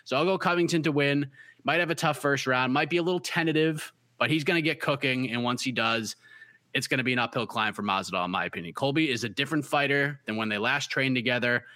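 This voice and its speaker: Man, Boston accent, host, confident teasing talk, bright tone. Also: medium pitch, fast talker, no room sound. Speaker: podcast host. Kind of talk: casual fight-pick chat.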